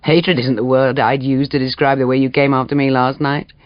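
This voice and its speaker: A man's voice: mid-range voice, steady tone, a little fast, no echo, muffled recording, close to an everyday voice. A man voicing a weary, scornful man leaving his lover in an audiobook, curt and condescending. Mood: cold, scornful.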